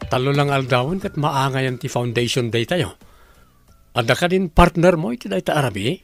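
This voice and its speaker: A man's voice: mid-range voice, normal speed, gravelly, soft background music, lightly acted. A man acting as a resourceful young business partner in an Ilocano radio drama, with an Ilocano accent. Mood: confident, persuasive.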